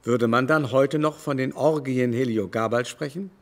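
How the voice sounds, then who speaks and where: An actor in a German radio play voicing a vain, haughty older baron with a mannered, theatrical, confiding delivery. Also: male voice, medium pitch, close to an everyday voice. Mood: lecturing. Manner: mannered, theatrical, drawn-out emphasis.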